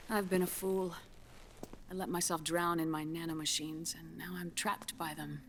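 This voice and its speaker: An actress playing a grave, guarded scientist in a video game, measured and solemn, with a resigned air. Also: female voice, deep voice, little pitch movement, a little hiss or hum. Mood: regretful, guarded. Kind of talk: dramatic video game voice acting. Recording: musical score underneath. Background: dramatic game soundtrack music.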